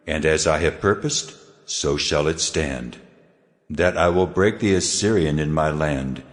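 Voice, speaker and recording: An actor playing a stern, sovereign deity decreeing judgment in a solemn, commanding manner, in dramatized scripture. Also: male voice, a bass voice. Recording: long reverberant hall effect.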